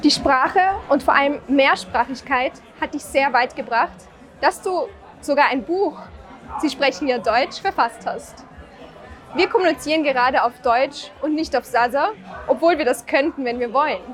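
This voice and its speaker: A young woman with a high voice asks her prepared questions in measured, deliberate phrasing. Faint music sits under her voice. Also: a faint crowd. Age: young adult. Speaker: interviewer.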